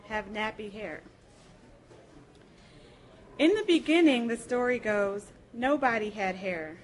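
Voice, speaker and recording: A woman reading short tales and definitions aloud to an audience at a live library program. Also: fairly high pitch. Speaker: reader at a public library program.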